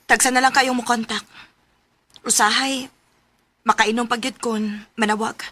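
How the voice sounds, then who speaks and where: A woman's voice: fairly high pitch, fairly even intonation, measured pace, pushed and bright. An actress with a Cebuano accent playing a lonely young woman confiding in a close friend in a radio drama. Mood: sulky, needy. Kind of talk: Philippine radio drama acting.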